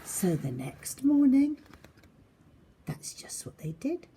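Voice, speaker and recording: A woman reading a children's story as narrator, dramatic and expressive, often dropping into a hushed tone. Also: sits high, slow pace, slightly rough.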